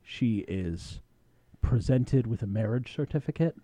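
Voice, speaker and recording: A man's voice: mid-range voice, expressive, measured pace, warm tone, dry recording. A man co-hosting a podcast, casually recapping a show with slangy, playful storytelling and bits of acted-out dialogue. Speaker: podcast co-host.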